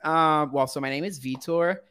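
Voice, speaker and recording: A man's voice: fairly high pitch, moderate pace, smooth. Laughing man from Brazil. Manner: animated, laughs often, fillers. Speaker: guest, counseling student. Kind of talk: casual interview.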